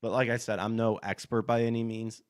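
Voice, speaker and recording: A hoarse man hosting a gaming podcast, reading news articles aloud and breaking into blunt, profane asides full of fillers. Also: on the low side, level tone, fairly quick. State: hoarse from allergies. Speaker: podcast host.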